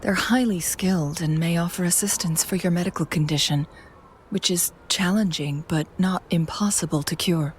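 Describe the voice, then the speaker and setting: Soft, poised, lower-pitched noblewoman played by an actress. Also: moderate pace, slight background noise, lightly acted. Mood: gentle reassurance. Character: composed, gracious noblewoman advisor, teasing and kind. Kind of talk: scripted video game voice acting.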